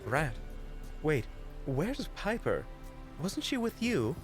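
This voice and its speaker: Man acting a concerned, expressive doctor. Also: a little high, slow pace, soft background music. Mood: puzzled, earnest. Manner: concerned, reassuring. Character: caring, level-headed male doctor on an investigation. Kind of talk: dramatic audio roleplay acting.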